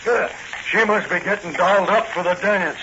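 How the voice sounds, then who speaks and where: An actor playing a mocking, wisecracking hotel detective in 1940s radio style, on a very noisy recording. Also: male voice, high-pitched, normal speed, muffled recording, natural voice. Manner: wisecracking, folksy slang.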